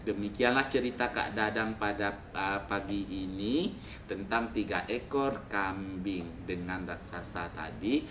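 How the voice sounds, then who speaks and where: A man's voice: fairly low pitch, unhurried. A man telling a folktale to children as a storyteller. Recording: echoey room with faint noise.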